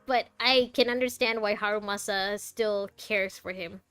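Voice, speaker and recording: A female gaming streamer gives casual playthrough commentary in a mid-pitched voice. She is chatty and talks back to the characters, with faint game music behind her. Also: fairly even intonation, a little slow, fairly bright tone, clear, resonant tone. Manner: chatty, reacting aloud to the characters.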